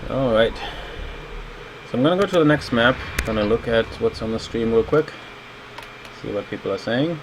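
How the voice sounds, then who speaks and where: A man's voice: mid-range voice, expressive, moderate pace, pauses often. German-accented man streaming over background noise. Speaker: level designer and livestream host. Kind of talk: casual livestream game commentary. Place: indoors at a computer, streaming.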